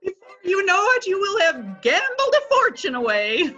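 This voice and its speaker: A woman's voice: extremely high pitch, huge pitch swings, moderate pace, sharp tone, muffled recording, faint music behind, heavily acted. An actress reading the part of a skeptical widow who teases her companions, in a readers theatre play. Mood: needling. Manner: teasing, pointed. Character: sharp-tongued wealthy widow, teasing and skeptical. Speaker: actor.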